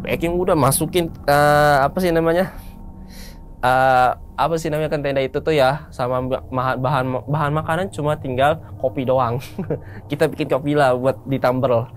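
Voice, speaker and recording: A man's voice: a little high, fairly even intonation, moderate pace, a little hiss or hum. A man of about thirty, casually recounting a personal story in informal, colloquial speech. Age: around 30. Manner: informal, colloquial, acts out bits of dialogue. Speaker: storyteller, guest recounting a mountain hike.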